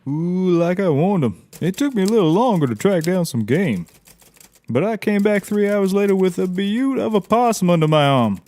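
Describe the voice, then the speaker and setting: A man's voice: sits high, expressive, normal speed, a little mellow, a little hiss or hum. A man playing a naive, folksy taxidermist and tracker in a scripted audio comedy, rambling with drawled interjections. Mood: earnest, rambling.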